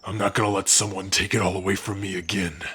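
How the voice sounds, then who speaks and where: A man's voice: sits low, little pitch movement, fast, bright, forceful tone, slightly rough, heavily acted. A man voicing a grim, protective orc warrior in an ASMR fantasy roleplay, grave, determined and terse. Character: grim, protective male orc warrior hunting for a lost love.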